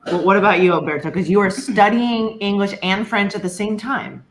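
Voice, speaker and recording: A woman's voice: mid-range voice, a little fast. A woman casually leading a group conversation as host, putting questions to the others and adding her own thoughts. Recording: echoey room.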